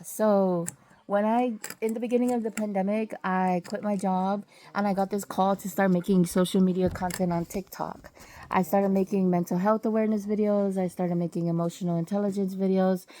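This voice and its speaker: A woman recovering from a cold, sniffly, telling travel testimonies in a halting, casual way with many fillers and self-corrections. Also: mid-range voice, fairly even intonation, mellow, a little hiss or hum. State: getting over a cold, sniffling. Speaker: vlogger sharing travel testimonies.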